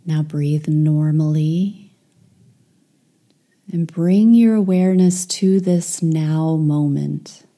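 A woman leading a guided meditation, speaking softly and soothingly, with some phrases whispered. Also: sits low, slow.

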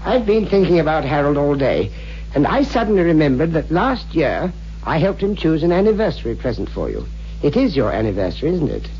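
Through a muffled old radio recording with faint hum comes a kindly, learned man in a horror play. His voice is soft-edged and mellow, with lively rises and falls as he gently explains and cautions. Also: fairly high pitch, fairly quick, soft background music. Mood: concerned, encouraging. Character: kindly, learned male friend explaining occult warnings. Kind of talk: 1960s radio horror drama acting.

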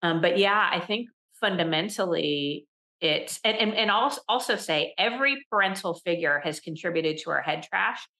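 A middle-aged woman talking candidly as a guest on a podcast interview, animated and quick to laugh, often repeating words as she restarts a thought. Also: lowish voice. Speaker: podcast guest, leadership coach. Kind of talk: conversational interview.

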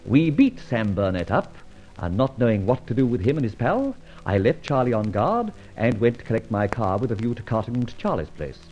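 An actor playing a cool, wry gentleman adventurer in an old radio serial, speaking in a clipped, brisk and self-assured way. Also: medium pitch, very animated, muffled recording, faint music behind, natural voice. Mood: wry storytelling. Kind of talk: 1940s-50s radio adventure serial acting, first-person narration and dialogue.

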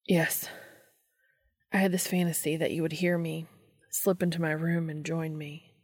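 A woman voicing an eager, desperate adult man in a romantic audio story, speaking quickly and pleadingly. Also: deep voice, flat delivery, husky, no echo, clean studio sound.

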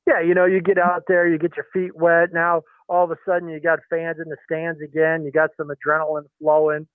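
A middle-aged pitching coach speaking by phone, halting, with many fillers and restarts. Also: male voice, completely flat delivery, dry recording. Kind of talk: phone interview. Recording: tinny phone line.

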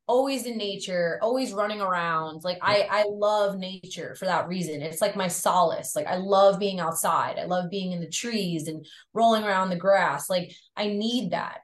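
Chatty woman with a faint Long Island accent. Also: medium pitch. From Long Island, New York. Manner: chatty, heavy use of "like". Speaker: podcast guest. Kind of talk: casual interview chat. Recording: roomy echo.

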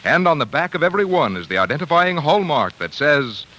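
A man's voice: on the high side, very animated, fairly quick, pushed and bright, poor audio. An American man reading scripted announcements as a 1940s radio announcer, on an old broadcast. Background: music.

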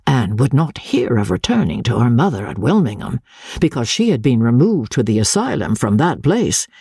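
A woman narrator with a husky, low voice reads literary fiction deliberately and formally. Her pitch moves expressively through long sentences, and the recording is close and dry. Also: dark tone, no room sound. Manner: measured, deliberate, formal storytelling delivery. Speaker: audiobook narrator.

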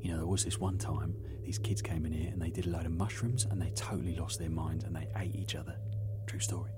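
A man's voice: deep voice, fairly even intonation, fast talker, heavy background noise, natural voice. A man playing a cheeky, flippant British teenage lad in an audio drama, teasing as he talks. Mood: mock spooky. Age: young adult. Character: cheeky teenage lad working at a theme park. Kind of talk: naturalistic audio drama dialogue.

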